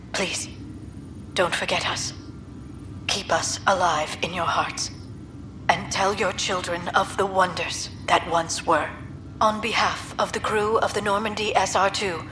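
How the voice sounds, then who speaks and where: A woman playing a gentle, sorrowful alien scientist recording an earnest, solemn message in a video game. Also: fairly low pitch, close to an everyday voice.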